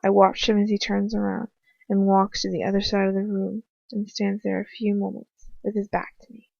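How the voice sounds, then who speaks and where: A woman's voice: medium pitch, monotone, normal speed, vocal fry, a little mellow. A woman giving a dramatic first-person reading of fan fiction, as an amateur audiobook narrator. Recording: muffled roomy home recording.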